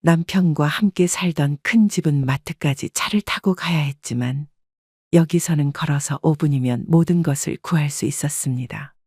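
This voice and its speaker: A woman calmly narrating a first-person life story. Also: low voice, moderate pace, husky, dry recording, clean studio sound.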